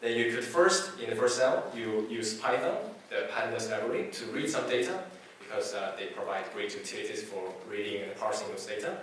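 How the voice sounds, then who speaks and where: A man whose first language is Chinese gives a technical talk to a meetup audience, speaking haltingly with many fillers and restarts. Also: lowish voice, a bit bright. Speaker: conference speaker. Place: meetup presentation room. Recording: echoey hall from far off, faint hiss.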